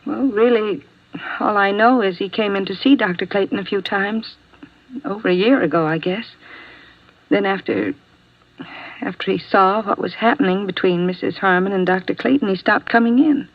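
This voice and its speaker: A woman's voice: medium pitch, normal speed, close to an everyday voice. A woman playing a doctor's weary, loyal office assistant, hesitant and guarded, in 1950s radio drama style. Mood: reluctant. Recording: dull old radio recording.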